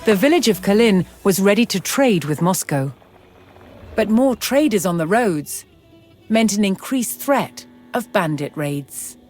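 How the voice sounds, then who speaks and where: A woman's voice: mid-range voice, sing-song, unhurried, darkish tone. Woman narrating history over prominent music. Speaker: documentary-style narrator of a historical strategy game. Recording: music behind the voice. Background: background music.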